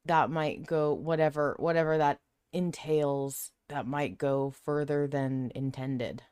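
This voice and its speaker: A woman's voice: low voice, fairly even intonation, slow, a little mellow, smooth, dry recording, studio quality. A woman hosting a casual fan podcast, chatting in an emphatic, playfully argumentative way. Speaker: podcast host.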